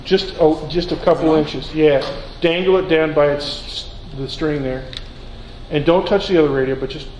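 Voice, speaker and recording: A high-pitched male science teacher runs an informal demonstration, handing out short instructions. His voice echoes around a lecture hall, with some noise behind it. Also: normal speed, darkish tone. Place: large lecture auditorium.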